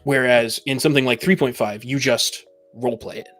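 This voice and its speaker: Man, average pitch, deliberate, opinionated, casual debate, light background music. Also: steady tone, fairly bright tone. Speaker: podcast speaker on tabletop gaming.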